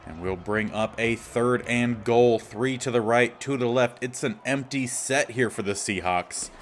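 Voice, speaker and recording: Guy doing casual video game commentary, bit of rasp, normal pitch, some noise behind him. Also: measured pace. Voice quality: slightly raspy. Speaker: gaming series host.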